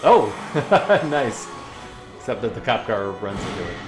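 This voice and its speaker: A man's voice: on the low side, lively intonation, over music. Man casually reacting to a cartoon. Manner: musing, halting, conversational asides. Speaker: reaction video host. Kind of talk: casual chatty commentary on a cartoon.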